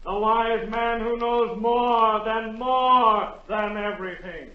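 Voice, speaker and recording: A solemn palace herald in a vintage radio play. He calls out in a high, slow, ceremonial voice. The sound is echoey and dull. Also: steady tone. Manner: ceremonial calling, drawn-out. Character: solemn royal herald calling out names. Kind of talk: 1940s radio acting.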